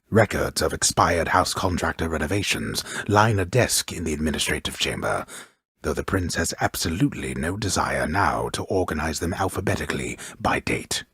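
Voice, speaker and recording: An actor playing a wry, grandiose old storyteller in a video game, narrating Greek myth gravely and ornately with dry mockery, his voice gravelly. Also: a bit bright, no echo, heavily acted. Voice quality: very deep, gravelly, husky voice. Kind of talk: theatrical scripted game narration.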